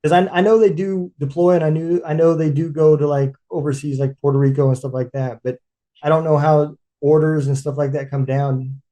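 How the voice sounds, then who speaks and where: Male host, warm-toned, casual and chatty, plenty of fillers. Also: fairly high pitch, brisk. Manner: relaxed, chatty, with many fillers. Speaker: podcast host. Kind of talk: casual interview chat.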